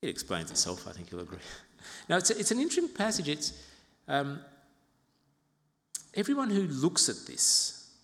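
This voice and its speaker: A man in his fifties from Uganda preaching an expository sermon as a pastor, in a measured, reflective way with pauses and gentle asides. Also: on the high side, wildly swooping pitch. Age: 50s. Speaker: pastor preaching to own congregation. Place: large reverberant church interior. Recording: reverberant hall.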